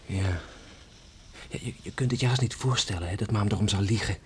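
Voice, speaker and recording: An actor playing a kind, dutiful young village man in a radio play, speaking earnestly with a husky voice. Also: on the low side, fairly quick. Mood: grave, gentle. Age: young adult.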